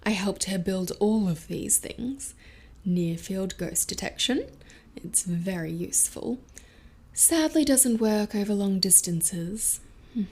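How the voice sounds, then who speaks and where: Slow, breathy, unfazed mechanic. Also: female voice, medium pitch, lively intonation, a little mellow, heavily acted. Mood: proud, musing. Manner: measured, knowing, unfazed. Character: female car mechanic and inventor, cool, unfazed, proud. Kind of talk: audio drama dialogue.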